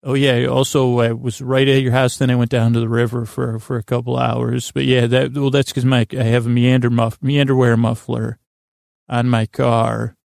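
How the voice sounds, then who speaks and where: A man hosting a bedtime podcast in a creaky, soothing voice, meandering and halting as he lulls listeners to sleep with whimsical tangents. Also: mid-range voice, flat delivery, a little mellow, no echo, studio quality. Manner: meandering, halting, often trails off and repeats himself. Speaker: podcast host. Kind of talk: rambling bedtime monologue to lull listeners to sleep.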